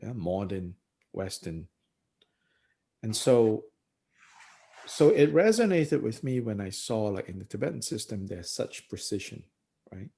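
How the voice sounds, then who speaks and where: A middle-aged man leads a book study as a Buddhist teacher. His voice is husky with a gravelly edge. His pitch swings freely as he muses aloud, pausing often and chuckling between thoughts. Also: sing-song, mellow. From Malaysia. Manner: thoughtful, unhurried, many "you know"s and pauses, chuckles often. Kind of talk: reflective discussion talk.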